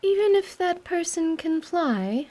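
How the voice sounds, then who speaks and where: A woman as a high-voiced, prim young noblewoman. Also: expressive, a little slow, dark tone. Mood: fussing. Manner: formal, genteel, fussy wording. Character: Anise, prim, melancholy, doting young noblewoman adventurer. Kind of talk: radio-theatre fantasy role-play acting.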